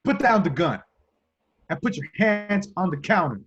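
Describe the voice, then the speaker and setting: A man's voice: high voice, sing-song, moderate pace, a bit bright, slightly rough, muffled recording, full character voice. A man playing a young streetwise cop, bewildered but insistent, in an amateur table read of a film script. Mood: commanding.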